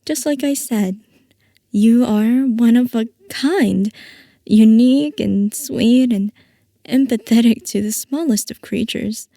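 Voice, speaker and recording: A woman playing a playful, possessive scientist boss who dotes on her assistant, in a soft, coaxing ASMR roleplay. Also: fairly high pitch, slow, no echo, clean studio sound. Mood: reassuring, fond. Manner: soft, coaxing, affectionate villainy.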